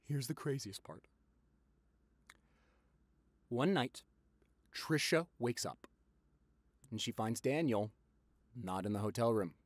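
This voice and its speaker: A man playing a popular boyfriend eagerly telling gossip to his partner in a conversational audio roleplay, with dramatic pauses and repeats for emphasis. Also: mid-range voice, lively intonation, no echo. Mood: incredulous, dramatic.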